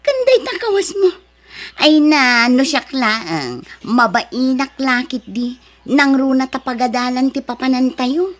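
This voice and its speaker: A woman's voice: sits high, sing-song, measured pace. An actress playing a snobbish married woman in an Ilocano drama, with a taunting, exaggerated way of talking. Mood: mocking. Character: snobbish, mocking married woman who belittles poorer neighbours. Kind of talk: Ilocano radio drama acting.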